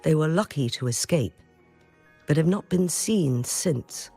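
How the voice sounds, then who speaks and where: An actor playing a courteous, dutiful official in a video game, speaking in a formal, measured, earnest way. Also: male voice, a little high, lively intonation, vocal fry, mellow, slightly rough, faint music behind, heavily acted. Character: courteous, dutiful official giving a report, adult man. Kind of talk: video game story dialogue.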